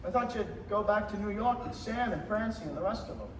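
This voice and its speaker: A teenage male student actor playing an awkward, grumbling young small-town man in a radio play, halting and flustered. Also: high-pitched, distant mic, natural voice. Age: teens. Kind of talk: old-time radio play acting on stage. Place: high school theater stage. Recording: roomy stage sound from afar, background noise.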